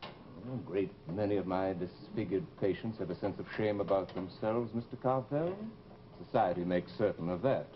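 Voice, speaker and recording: An actor playing a firm, polite plastic surgeon in an old-time radio horror drama, speaking in a formal, measured way. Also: male voice, low-pitched, natural voice. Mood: courteous, professional. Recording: muffled, noisy old radio recording.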